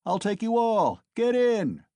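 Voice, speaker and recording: A man's voice: sits high, expressive, unhurried, mellow, no room sound, pitched above the performer's own voice. A man voicing a drunken, thick-necked young male peasant who bellows and boasts in an audiobook. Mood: drunken bellowing.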